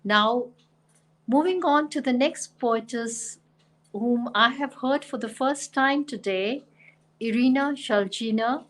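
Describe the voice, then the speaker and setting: A woman's voice: fairly high pitch, takes it slowly, rough recording. A woman hosting an online poetry reading, introducing poets with effusive praise. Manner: warm, effusive praise of guests. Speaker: host.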